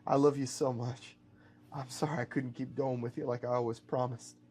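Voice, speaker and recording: A man's voice: medium pitch, flat delivery, normal speed, darkish tone, close to an everyday voice. Man as an earnest, emotional cowboy hero. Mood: weak, tender. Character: earnest, defiant male cowboy hero, tender and wounded.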